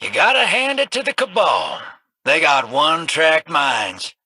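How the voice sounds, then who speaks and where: An actor playing a cocky, folksy old gunslinger rogue in a video game, talking with a drawling, wisecracking swagger. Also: male voice, on the high side, wildly swooping pitch, measured pace, background noise. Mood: wry. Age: older.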